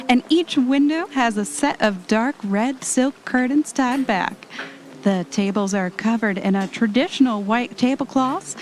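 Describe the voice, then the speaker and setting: A woman playing a fond, careful wife on vacation in an audio drama, chatty and gently chiding. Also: on the high side, natural voice. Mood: presenting. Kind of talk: audio drama acting, conversational. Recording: faint background noise.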